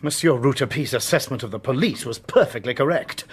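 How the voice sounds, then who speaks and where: An actor playing a brisk, confident, well-bred gentleman in a radio drama, formal in manner and husky in voice. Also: mid-range voice, slight background noise, lightly acted. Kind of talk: British radio drama acting.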